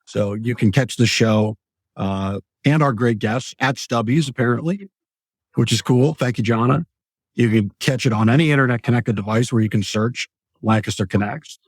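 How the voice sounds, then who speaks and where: Relaxed male talk show host. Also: mid-range voice, moderate pace, no room sound. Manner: relaxed, wry, plugs the show. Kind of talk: casual talk show hosting.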